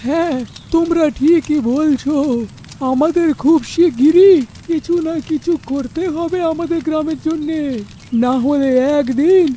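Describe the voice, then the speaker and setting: A woman's voice: high-pitched, unhurried, warm tone, a little hiss or hum. A woman voicing an anxious, earnest village man in a cartoon fairy tale, with a pleading manner. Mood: troubled. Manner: pleading, worried. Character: anxious village headman, adult male, earnest.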